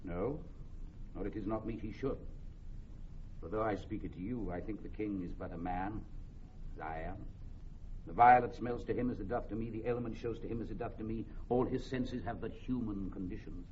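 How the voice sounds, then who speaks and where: A man playing a disguised king passing as a plain-spoken soldier in Shakespearean radio drama, measured and composed. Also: lowish voice, lightly acted. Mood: earnest, reflective. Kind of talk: classical Shakespearean radio acting. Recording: muffled, faint hiss.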